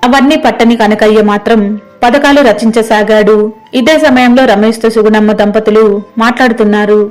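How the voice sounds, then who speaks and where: Female storyteller, fairly high voice, scripted narration, soft music underneath. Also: fairly even intonation, brisk. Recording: music behind the voice.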